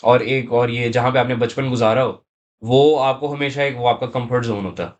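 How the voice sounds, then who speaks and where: A man's voice: mid-range voice, steady tone, moderate pace, muffled recording. A young man with a Pakistani accent, speaking as an interview guest in a casual, explanatory way and mixing in English words. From Saudi Arabia, of Pakistani family. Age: young adult. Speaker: interview guest, university student.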